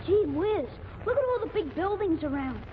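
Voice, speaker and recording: An eager little boy in an old radio comedy, piping very high. He talks in earnest, slangy bursts, full of brash confidence. The sound is thin and phone-like, with clear noise over it. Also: sing-song, moderate pace. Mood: excited, curious. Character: eager, brash little boy visiting Washington, streetwise kid. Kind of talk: 1940s radio comedy acting. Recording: tinny, noisy 1940s radio recording.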